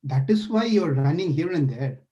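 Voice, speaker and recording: A man's voice: fairly high pitch, animated, moderate pace, warm tone, highs cut off, close to an everyday voice. A man voicing a playful, caring male deity who eagerly questions a frightened sage in a devotional story. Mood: curious, eager. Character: playful caring divine lord, male, curious.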